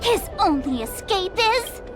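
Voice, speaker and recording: A woman's voice: extremely high pitch, very animated, slow, over music, heavily acted. A woman voicing a playful young woman in a video game, narrating a detective tale in a theatrical, cheerful way.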